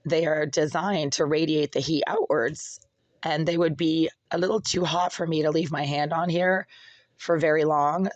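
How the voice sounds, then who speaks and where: A vlogger with a hoarse, raspy voice that cracks and breaks, ranting in an aggrieved way from a cold apartment. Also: medium pitch, darkish tone, no echo. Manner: aggrieved, ranting, insults critics. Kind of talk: casual complaint vlog.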